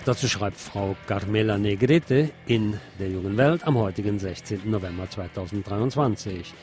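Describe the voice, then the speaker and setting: A man's voice: lowish voice, moderate pace, loud music behind the voice. A man reading scripted news in the role of a radio news presenter.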